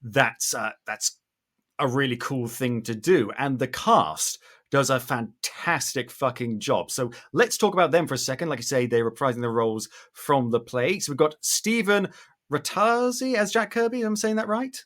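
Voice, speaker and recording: A man's voice: mid-range voice, animated, moderate pace, fairly bright tone. A man from the UK, hosting an interview in a casual, effusive way and swearing freely. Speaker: podcast host. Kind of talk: casual, enthusiastic interview chat.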